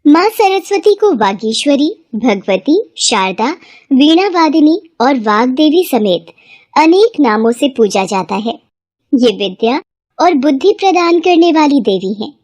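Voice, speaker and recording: A woman's voice: high-pitched, sing-song, measured pace, darkish tone, no room sound, clean studio sound. A woman narrating a scripted devotional story.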